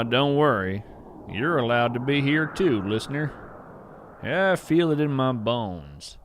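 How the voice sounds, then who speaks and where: Slow-paced man playing a wondering wandering naturalist. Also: mid-range voice, a little hiss or hum. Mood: careful, reassuring. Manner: measured, poetic, hushed storytelling with deliberate pauses. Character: earnest, wonder-struck wandering naturalist of hidden creatures. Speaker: podcast host narrator in character. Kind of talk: scripted first-person audio fiction narration.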